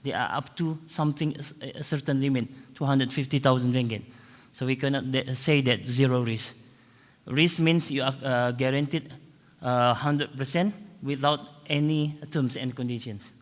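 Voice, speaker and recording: A man's voice: medium pitch, steady tone, moderate pace. A man adding comments as a conference panelist, explaining with many fillers. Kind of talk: panel discussion. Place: large conference hall. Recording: long conference hall reverb.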